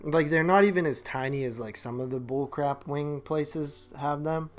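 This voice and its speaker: A man's voice: on the high side, moderate pace, music in the background. A man co-hosting a podcast, chatting in a casual, conversational way. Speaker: podcast co-host. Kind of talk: casual banter.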